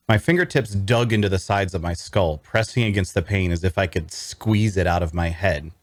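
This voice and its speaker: A low-pitched, closely recorded man performing as a wry necromancer storyteller. He narrates a dark fantasy audio drama in dramatic first person, sounding queasy and sardonic. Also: no room sound, clean studio sound. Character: wry, queasy male necromancer telling his own tale.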